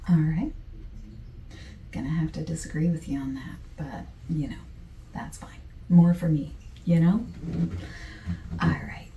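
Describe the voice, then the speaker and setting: A woman playing a friendly, easygoing survey taker in a softspoken, partly whispered ASMR roleplay, with gentle, chatty asides. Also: low voice, reverberant, recorded from a distance, a little hiss or hum, poor audio. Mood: chatty, amused. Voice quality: soft, breathy, partly whispered.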